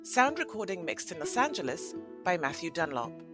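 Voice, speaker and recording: Expressive, lower-pitched woman narrator. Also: moderate pace, music in the background. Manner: expressive, dramatic storytelling. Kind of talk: scripted storybook narration for audio play.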